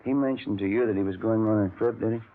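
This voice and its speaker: An actor playing a plain-spoken police sergeant who talks in a matter-of-fact way. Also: male voice, lowish voice, fast talker, close to an everyday voice. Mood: casual. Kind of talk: 1950s radio drama acting. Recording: tinny 1950s radio broadcast.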